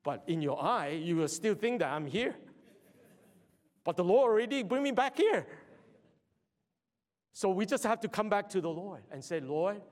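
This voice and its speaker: Man with a fairly high voice, halting testimony. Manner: halting, repeats words, rises to loud exhortation. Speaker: church brother giving a testimony. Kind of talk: sermon testimony.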